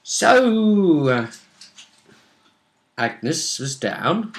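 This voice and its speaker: A man's voice: a little high, big pitch swings, extremely slow. A man chatting casually as game master, recapping a tabletop role-playing session and often trailing off mid-sentence.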